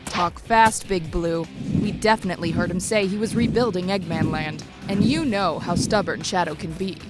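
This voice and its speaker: A woman's voice: fairly low pitch, moderate pace, lightly acted. A woman voicing a sly, smug female bat spy in a fan comic dub, teasing in tone. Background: background music.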